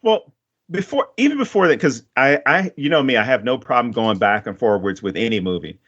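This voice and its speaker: A man's voice: mid-range voice, animated, fairly quick, fairly bright tone, dull audio. A man hosting a podcast, chatting casually about a film in a rambling way and laughing often. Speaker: podcast host.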